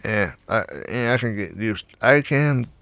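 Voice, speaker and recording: A man's voice: lowish voice, lively intonation, fairly bright tone, no echo. A man giving casual, hesitant let's play commentary and reading game dialogue aloud. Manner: hesitant, halting. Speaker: gaming video host.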